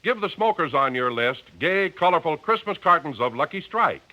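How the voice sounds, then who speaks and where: A male radio announcer from the fifties, bright and forceful with very lively swings of pitch. He delivers sponsor copy and credits in a slightly high voice. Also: normal speed, dull audio. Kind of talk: 1950s radio announcing and ad reading.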